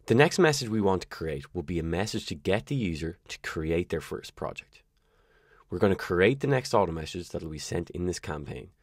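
A man presenting a scripted software screencast tutorial, explaining each step in an instructional way. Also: sits low, no echo, clean studio sound. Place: voice-over for a screen recording.

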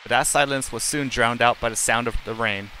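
A man's voice: medium pitch, fairly quick, bright, forceful tone, a little hiss or hum, rough recording. A middle-aged man live streaming, reading game text aloud in a plain, unacted way with casual asides and occasional stumbles.

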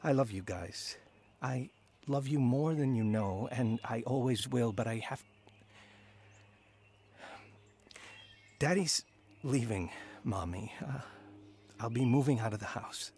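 A man's voice: mid-range voice, unhurried, darkish tone, slight background noise, natural voice. An actor playing a regretful married schoolteacher and father telling his life story in a radio drama. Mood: hesitant, sorrowful. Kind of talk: radio drama narration and dialogue.

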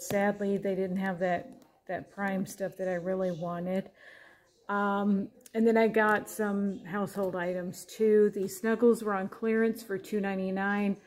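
Mellow-voiced American woman, chatty haul vlogger. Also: mid-range voice. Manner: chatty, itemizing item by item. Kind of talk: casual narrated shopping haul. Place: indoors at a home dining table.

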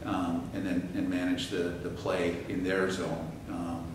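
A middle-aged man, a head coach, answering postgame questions with halting restarts and fillers. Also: sits low, completely flat delivery. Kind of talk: postgame interview. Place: press room at a table. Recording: echoey room from a distance, background hiss.

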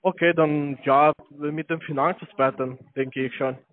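A man with a foreign accent speaks in a fairly high voice over a thin, tinny phone line. He works through a sales pitch as a call-centre salesman, haltingly searching for his words as a non-native speaker. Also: fairly even intonation. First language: not German. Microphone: telephone.

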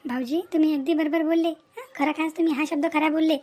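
Supportive cartoon sister-in-law, played by a woman: very high voice, emphatic, loyal, repeats her agreement. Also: steady tone, fast, darkish tone, lightly acted. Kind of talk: cartoon family melodrama acting.

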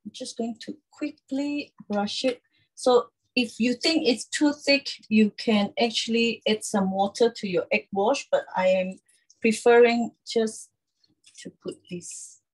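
Woman in her 30s doing a live cooking show sales pitch at home, highish voice, keeps checking in with okay. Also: fairly even intonation, a little slow, breathy edge, rough recording. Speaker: cooking demonstrator and presenter. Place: small home kitchen, indoors.

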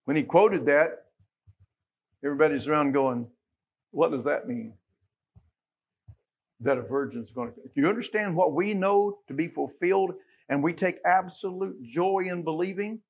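A middle-aged American man preaching a Baptist sermon, emphatic, moving between quiet asides and loud exclamations and quoting scripture. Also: fairly high pitch, moderate pace, pauses often, slightly rough, narrow, tinny audio. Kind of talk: Sunday sermon with scripture quotations. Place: small church sanctuary, at the pulpit.

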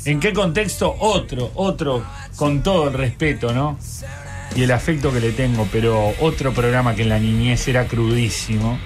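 A man's voice: medium pitch, moderate pace, soft background music. A man with a Uruguayan accent in casual comic banter as a radio host, telling things in a wry, colloquial way.

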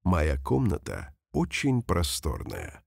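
A man reading example sentences slowly and carefully for language learners, saying each one twice. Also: rumbling low, wildly swooping pitch, vocal fry, slightly rough, dry recording, studio quality. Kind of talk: slow repeated phrase reading for learners.

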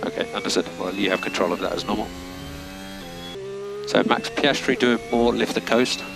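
A man's voice: on the low side, normal speed, gravelly. A man working as a race engineer, giving clipped, matter-of-fact instructions and timing figures over team radio. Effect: team radio transmission. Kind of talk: terse race radio instructions and data readouts. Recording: noisy motor racing radio, vehicle noise.

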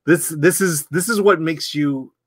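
A man's voice: on the high side, a little slow, breathy edge, dry recording. A middle-aged man hosting a casual film review over a video call, talking conversationally and repeating words as he thinks. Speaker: host, film site editor. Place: home room.

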